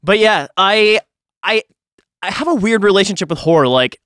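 A man in his early thirties chatting casually as a podcast host, animated and self-deprecating. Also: sits high, normal speed, clean tone, no room sound, studio quality. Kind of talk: casual group chat.

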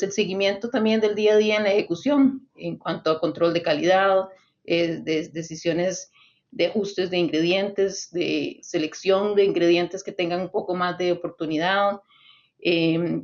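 A woman's voice: fairly low pitch, steady tone, a little slow, darkish tone, highs cut off. A Costa Rican woman in her forties, a nutrition consultant answering interview questions thoughtfully, with frequent restarts and fillers. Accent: Costa Rican Spanish. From Costa Rica. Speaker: swine nutrition consultant, guest.

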